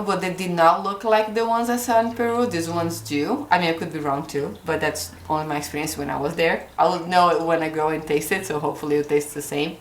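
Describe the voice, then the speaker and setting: A woman's voice: on the low side, roomy sound, a little hiss or hum. A woman in her thirties with a Brazilian Portuguese accent, chatting casually to camera at home about her garden plans. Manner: chatty, gestures while holding up seed packets. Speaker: gardening vlogger. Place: indoor kitchen corner at home.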